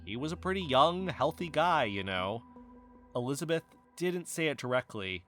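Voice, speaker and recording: An actor playing an earnest, defensive amateur investigator in a naturalistic fiction podcast, speaking insistently as if in real conversation. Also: male voice, fairly high pitch, lively intonation, moderate pace, a bit bright, faint music behind.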